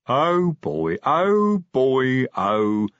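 Troll sergeant played by a man: big, loyal, blunt bruiser with rumbling, ungrammatical speech, dry close sound. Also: huge pitch swings, drawn-out words, fairly bright tone, no echo, dull audio, exaggerated voice. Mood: gleeful menace.

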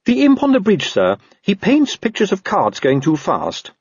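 A man's voice: high voice, wildly swooping pitch, normal speed, breathy edge, dry recording, muffled recording, voice pushed up, exaggerated voice. A man voicing an earnest, dutiful police constable in an audiobook, deferential and slightly prim. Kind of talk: audiobook character voice.

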